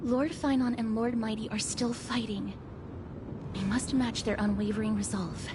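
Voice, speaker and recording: A woman playing a gentle, solemn young maiden in a fantasy video game, speaking in a measured, polite, earnest way. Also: fairly high pitch, steady tone, noisy background, close to an everyday voice. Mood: earnest, calm. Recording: game music underneath.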